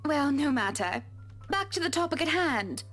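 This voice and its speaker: An actress playing a wealthy, imperious wife with a breezy, polished manner in a visual novel. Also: female voice, sits high, big pitch swings, normal speed, fairly bright tone. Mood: haughty, breezy. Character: wealthy, imperious, genteel wife buying a mansion. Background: faint music.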